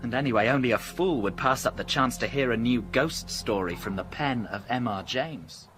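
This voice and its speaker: A man acts a young English student in a period radio play. The voice is medium in pitch, courteous and eager, with a teasing streak. Faint music sits behind it. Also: moderate pace, a bit bright, close to an everyday voice. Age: young adult. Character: well-mannered, teasing, eager young Edwardian Cambridge student. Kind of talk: radio drama acting.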